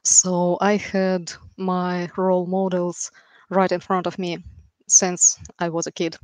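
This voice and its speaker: A woman in her thirties with a Ukrainian accent, telling her story as an interview guest in a measured way with dry, understated humour. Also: fairly low pitch, monotone, darkish tone, clear, resonant tone, dry recording, poor audio. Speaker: podcast guest, software engineer. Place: room at home, video call. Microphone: headset with boom mic.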